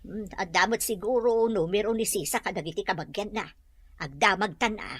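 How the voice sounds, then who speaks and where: A woman's voice: medium pitch, fairly quick, no echo, lightly acted. An actress playing a protective, indignant older village mother in an Ilocano audio drama, with an Ilocano accent. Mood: concerned. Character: protective, indignant village mother defending her grown child.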